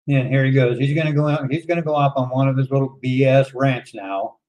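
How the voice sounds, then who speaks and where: Man, mid-pitched, dry sarcastic reaction commentary. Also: steady tone, fast talker, darkish tone, poor audio. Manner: sarcastic, exasperated.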